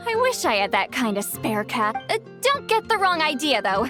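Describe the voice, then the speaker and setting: Grumpy embarrassed game girl, stammery n dramatic, high voice, music behind. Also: very animated, moderate pace. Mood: defensive. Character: proud, easily flustered young woman, grumpy and embarrassed. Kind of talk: video game voice acting.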